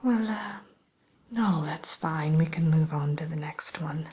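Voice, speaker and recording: A woman softly whispering an ASMR roleplay as a polite, hesitant matchmaker who soothes and defers to her client. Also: low-pitched, unhurried. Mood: hushed, tactful. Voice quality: breathy, mostly whispered. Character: polite, accommodating female matchmaker at a dating service for villains.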